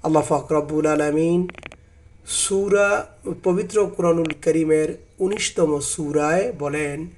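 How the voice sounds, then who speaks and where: A man reading out and explaining a translation of scripture as a religious narrator, deliberately and with some repeated phrases. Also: on the high side, darkish tone, a little hiss or hum.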